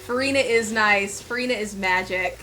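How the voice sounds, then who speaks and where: A woman's voice: mid-range voice, moderate pace, rough recording. A woman doing casual live gameplay commentary, chatting to herself and her viewers as she plays. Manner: chatty, talks to herself and viewers while playing. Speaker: game streamer. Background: game music.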